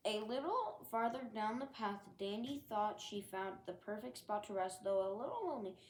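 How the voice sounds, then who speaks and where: A woman reading a children's picture book aloud as a storyteller, with expressive narration. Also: mid-range voice, normal speed, echoey room, a little hiss or hum, low-quality recording.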